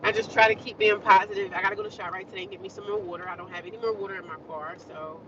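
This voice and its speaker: A woman's voice: mid-range voice, fairly even intonation, racing, recorded from a distance, lo-fi sound, noisy background. A woman chatting casually to her viewers from inside a car, talking with a congested nose. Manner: chatty, talks straight to viewers. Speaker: vlogger. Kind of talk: casual rambling chat to viewers.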